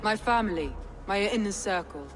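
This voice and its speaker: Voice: medium pitch, expressive, moderate pace, fairly bright tone, faint music behind, natural voice. An actor playing a solemn, resolute Viking clan leader in a video game cutscene. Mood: grim resolve.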